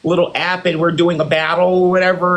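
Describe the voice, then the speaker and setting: A man's voice: high-pitched, flat delivery, very fast, dull audio, close to an everyday voice. A man playing a smug boss and CEO. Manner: condescending, calls others "dear" and "honey". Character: haughty self-styled boss and CEO, male. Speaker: livestream host in character. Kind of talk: improvised live-stream roleplay.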